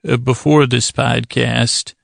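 A man with a heavily creaky, gravelly voice, recorded dry and close. He delivers a rambling bedtime monologue as a podcast host, stumbling, doubling back and drifting into digressions at a middling pitch. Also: steady tone, no echo. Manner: halting, meandering, digressive, stammering and self-correcting. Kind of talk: rambling bedtime monologue meant to lull listeners to sleep.

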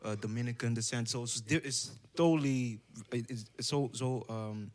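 Young Dutch man, halting and thoughtful. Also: mid-range voice. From Netherlands. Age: young adult. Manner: thoughtful, searches for words, many fillers. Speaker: podcast guest. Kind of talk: casual conversation.

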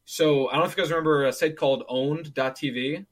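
A man's voice: on the high side, steady tone, fast. A man in his twenties chatting casually about gaming, rambling with lots of fillers and restarts. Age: 20s. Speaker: streamer.

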